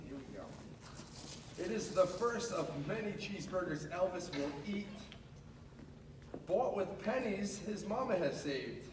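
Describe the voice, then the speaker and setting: Male storybook reader, high voice, expressive delivery with chatty asides, distant and echoey school-room recording. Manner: expressive, with casual asides to listeners. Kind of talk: animated children's picture book read-aloud. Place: indoors at a school. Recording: echoey room, distant mic, noisy background.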